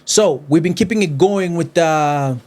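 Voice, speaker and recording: A male podcast host with a somewhat high, energetic voice. He talks fast in casual street slang, hyping up his guest as he leads the interview.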